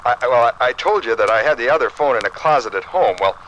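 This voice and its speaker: An excitable American husband and amateur inventor played by a man in vintage radio style. He sounds bright and animated, fairly high for a man. The old recording carries some noise. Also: fast, lightly acted, rough recording. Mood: gleeful. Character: eager, excitable amateur telephone tinkerer, a husband. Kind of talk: 1950s radio acting.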